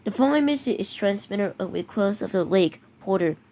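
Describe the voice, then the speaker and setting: A voice reading out a homemade emergency broadcast, restarting phrases and tripping over words as the warnings go on. The pitch sits in an ordinary middle range. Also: female voice, expressive, dark tone. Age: teenager. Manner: halting, many restarts and stumbles. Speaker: mock emergency alert announcer. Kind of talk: improvised fake emergency broadcast.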